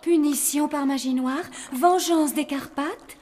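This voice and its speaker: A woman's voice: high voice, a little slow, darkish tone, slight background noise. A woman voicing a cheerful zombie mother who runs a hotel in a children's cartoon. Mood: motherly. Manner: bubbly, motherly.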